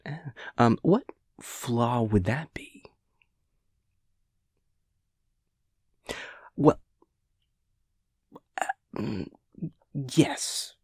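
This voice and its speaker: A man playing a chaotic, nervous, evasive boyfriend in a roleplay audio, stammering and rambling with halting hesitations. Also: medium pitch, darkish tone, no echo, studio quality, exaggerated voice. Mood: nervous, defensive. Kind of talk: improvised-sounding roleplay audio monologue.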